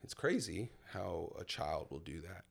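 Deep-voiced man in his thirties. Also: sing-song, a little slow, vocal fry. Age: 30s. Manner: candid, reflective storytelling with many fillers. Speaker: guest sharing his testimony. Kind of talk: casual personal conversation.

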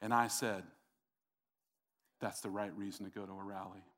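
A man's voice: lowish voice, fairly even intonation, moderate pace, a bit bright. A male pastor preaching a sermon in an earnest, repetitive way, speaking directly to his congregation. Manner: earnest, repeats himself, talks to the congregation.

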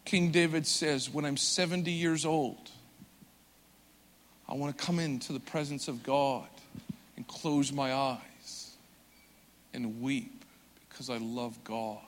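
A man preaching a sermon with earnest conviction, swelling loud for emphasis and dropping soft and slow. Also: mid-range voice, steady tone. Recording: long hall reverb.

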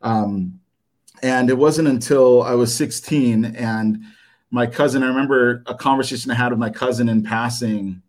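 A man in his thirties, whose first language was Japanese, gives a personal testimony to his church. He speaks in a halting, earnest way, with frequent "um"s and restarts. His nerves show in his delivery, and his voice sits at an ordinary middle pitch for a man. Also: fairly even intonation. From United States. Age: 30s. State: nervous. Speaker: church member giving a talk.

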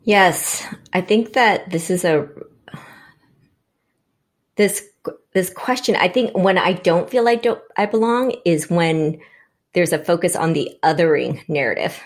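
A woman's voice: on the low side, expressive, measured pace, pauses often. A woman born in Vietnam, speaking as a panel guest, reflecting aloud and restarting her sentences as she thinks. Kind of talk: conversational panel discussion.

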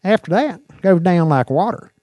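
A man's voice: high voice, lively intonation, moderate pace, darkish tone, dry recording, voice pushed up. A man voicing a scruffy, unfazed moonshiner in an audiobook, with an American country accent and a sly, folksy manner. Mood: teasing.

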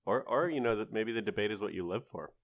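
A man's voice: lowish voice, fast. A man talking casually with other podcast panelists about video games.

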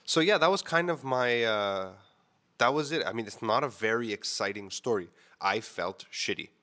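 A man's voice: medium pitch, moderate pace, bright, forceful tone. A man telling a personal story to camera in a frank, casual way, full of fillers and swearing, sounding a bit congested. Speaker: vlogger. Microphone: mic on camera setup.

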